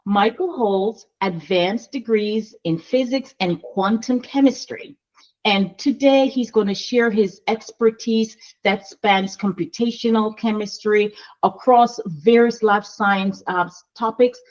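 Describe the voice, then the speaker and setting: Slow-speaking female host, dull audio. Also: medium pitch, darkish tone. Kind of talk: guest introduction. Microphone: video call. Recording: dull lo-fi video call audio.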